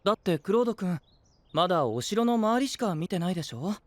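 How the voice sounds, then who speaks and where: An actor playing a gentle, blithely persuasive princely young knight, soft-spoken and leisurely, in an anime-style audio drama. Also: male voice, high voice, very animated, a little fast, no room sound. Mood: gentle, easygoing.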